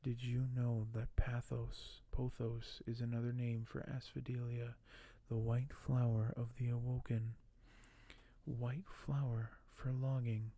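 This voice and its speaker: A man speaking softly and gently for an ASMR video, sometimes close to a whisper, with a halting delivery as host. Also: mid-range voice, little pitch movement. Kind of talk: soft-spoken ASMR, partly whispered; reading aloud and commenting on collectibles.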